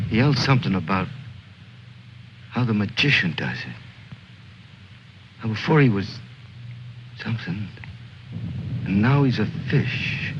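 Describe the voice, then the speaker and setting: An actor playing a bitter, restless soldier in his thirties, brooding and sardonic, in an old film drama. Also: lowish voice. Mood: shaken. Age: 30s. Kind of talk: mid-century American film drama acting. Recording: muffled, hissy old film soundtrack.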